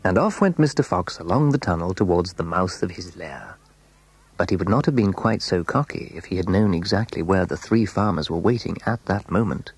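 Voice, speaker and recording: A man narrating a scripted children's story in a measured, dramatic way, letting phrase endings fall hushed. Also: deep voice, animated, breathy edge.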